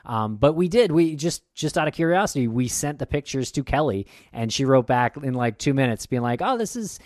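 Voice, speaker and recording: Man, podcast co-host, mid-pitched, dry close sound, easygoing. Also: lively intonation, brisk, darkish tone, no room sound. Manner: easygoing, hesitant with fillers. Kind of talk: casual podcast chat.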